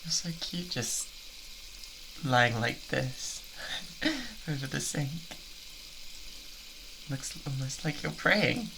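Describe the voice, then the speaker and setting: A man acts out an unhinged British yandere boyfriend in an improvised ASMR roleplay. His delivery is slow and theatrically coaxing, doting with menace underneath, and it swoops widely in pitch. A noisy sizzling wash sits behind the voice. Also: big pitch swings, vocal fry, exaggerated voice. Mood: adoring, manic. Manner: halting, giggly, theatrically coaxing.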